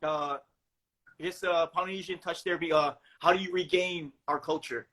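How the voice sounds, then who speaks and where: A middle-aged man from Hawaii with a Hawaiian accent, telling stories in a relaxed, chatty way full of fillers. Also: on the high side. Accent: Hawaiian Pidgin-tinged English. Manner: quick, chatty storytelling with many fillers. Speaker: host. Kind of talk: casual chat.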